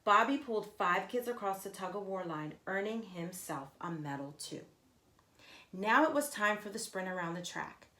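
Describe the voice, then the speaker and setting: A woman reading a children's story aloud expressively, with deliberate emphasis and asides to her listeners. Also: mid-range voice, animated, bright, forceful tone. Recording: echoey, roomy sound.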